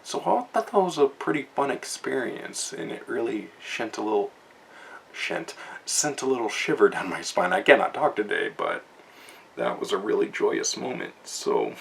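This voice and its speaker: A man's voice: on the low side, sing-song, normal speed, gravelly, a bit bright, a little hiss or hum. A young man giving a casual, rambling book review from his notes, with many fillers and restarts.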